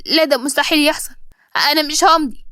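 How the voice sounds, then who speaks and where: Woman acting a high, anguished young heroine. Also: steady tone, fast, pushed and bright, no echo, clean studio sound. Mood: indignant. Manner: pleading, emotional. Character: wronged, anguished young woman, defiant and tearful.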